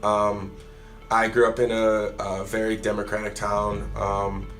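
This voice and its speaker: A man's voice: fairly low pitch, monotone, unhurried, fairly bright tone, soft background music. A young man from the Chicago area interviewed for a student documentary, speaking matter-of-factly. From United States, Chicago area. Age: young adult.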